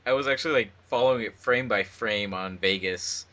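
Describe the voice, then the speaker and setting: A man's voice: lowish voice, brisk, sharp tone. A man co-hosting a casual commentary track as an editor and voice actor.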